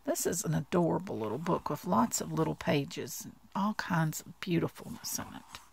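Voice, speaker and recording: A woman reading a bedtime storybook aloud in an expressive storytelling style. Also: low voice, normal speed, vocal fry, husky, no room sound. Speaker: storybook reader.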